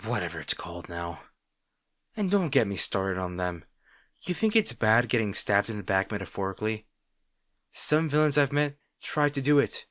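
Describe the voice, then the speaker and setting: Low-voiced, wry villain rival, acted by a man. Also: fairly bright tone, dry recording, studio quality. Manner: hesitant, conversational, sardonic. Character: male flying supervillain rival, wry, insecure, secretly fond. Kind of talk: roleplay audio drama, addressing the listener.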